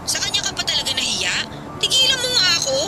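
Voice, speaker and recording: A woman's voice: very high voice, wildly swooping pitch, fast talker, very noisy, exaggerated voice. An actress playing a chatty, sympathetic young woman who comforts her heartbroken friend in a radio drama, with music behind. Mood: encouraging. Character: caring young woman, close friend and coworker.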